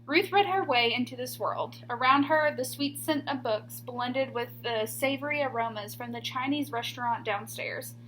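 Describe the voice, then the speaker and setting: A woman teacher reading a children's picture book aloud expressively, with deliberate, emphatic pauses on key words. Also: sits high, fairly bright tone, a little hiss or hum, rough recording.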